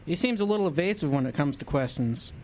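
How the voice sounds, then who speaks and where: A woman's voice: deep voice, expressive, fast, a little mellow, slight background noise. A woman playing a friendly, practical small-town coffee shop server in a radio drama. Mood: puzzled.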